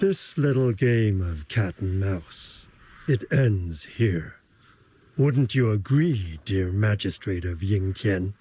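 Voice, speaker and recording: An actor playing a suave, scheming video game villain, with a deliberate, formal, taunting and theatrical delivery. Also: male voice, lowish voice, animated, warm tone, a little hiss or hum. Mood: smug, intrigued. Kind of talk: scripted video game voice acting.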